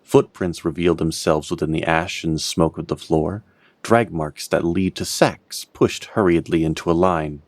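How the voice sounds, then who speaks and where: A man narrating a scripted fantasy story in a measured, literary way. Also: low voice, steady tone.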